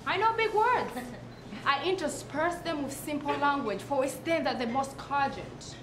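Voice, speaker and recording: High-voiced woman reciting theatrically, echoey room. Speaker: performer reciting a literary piece. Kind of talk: dramatic recitation of a comic monologue. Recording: echoey room with clear background noise.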